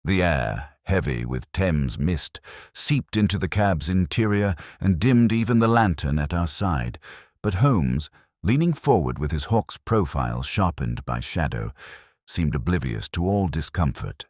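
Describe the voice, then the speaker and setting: A man reading scripted first-person mystery narration in a measured, literary storytelling manner. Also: deep voice, very animated, no echo, clean studio sound. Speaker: audiobook narrator.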